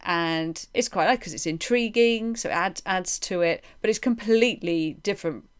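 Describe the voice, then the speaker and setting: A woman with a fairly low voice speaks as a podcast interviewer. Her delivery is informal and conversational, reworking each thought aloud as she explains it. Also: normal speed, clean tone.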